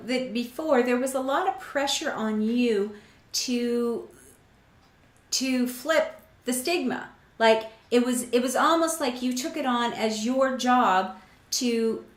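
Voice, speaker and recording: A woman hosting an interview, talking in a casual, affirming way with frequent restarts. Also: a little high. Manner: warm affirming, many restarts and fillers. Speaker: host. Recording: roomy echo.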